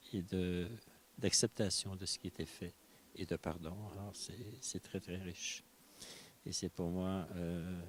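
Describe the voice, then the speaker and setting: A man from Quebec with a Québécois accent, giving a soft-spoken, hesitant personal testimony in a mosque prayer room. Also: sits low, a little hiss or hum. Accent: Québécois French.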